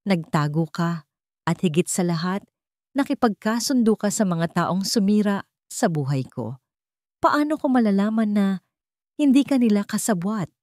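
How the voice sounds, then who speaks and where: A woman's voice: mid-range voice, darkish tone, dry recording, studio quality, close to an everyday voice. A woman voicing a bitter, defiant, hurt mother in a radio-style drama, with emphatic, accusing delivery.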